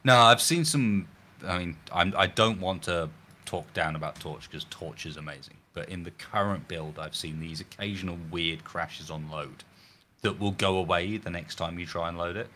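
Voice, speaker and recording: Man, very low voice, bright and forceful tone, slightly croaky, rambling casual gaming chat. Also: moderate pace, slight background noise. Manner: rambling, animated, self-correcting. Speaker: gaming video host.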